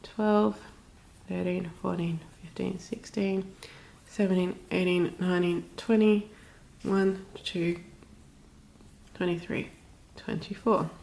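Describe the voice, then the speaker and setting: A woman's voice: lowish voice, steady tone, slow pace, creaky, a little mellow, slight background noise, poor audio. A woman with a cold and a snuffly nose, chatting informally through a step-by-step craft tutorial. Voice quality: snuffly, slightly stuffed-up nose. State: has a cold. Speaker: crochet tutorial host.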